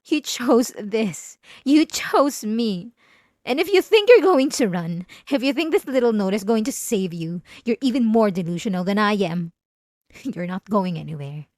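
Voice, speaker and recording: A woman's voice: mid-range voice, animated, a little mellow, dry recording, studio quality, close to an everyday voice. A woman playing a possessive, obsessive wife in an intimate, theatrical ASMR roleplay monologue. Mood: angry, possessive. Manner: intimate, theatrical, drawn-out emphatic phrases. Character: possessive, obsessive yandere wife confronting her spouse.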